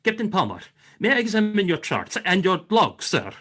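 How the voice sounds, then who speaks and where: A man's voice: sits high, sing-song, moderate pace, fairly bright tone, exaggerated voice. A man playing a courtly Russian frigate commander in a radio play, formal, gracious and grand in manner. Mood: grave, courteous. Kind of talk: radio play acting, 1940s style.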